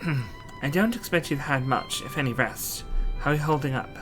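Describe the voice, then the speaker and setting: An earnest, gentle man in a game, music behind acted by a man. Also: a little high, normal speed, natural voice. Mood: gentle concern. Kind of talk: video game voice acting.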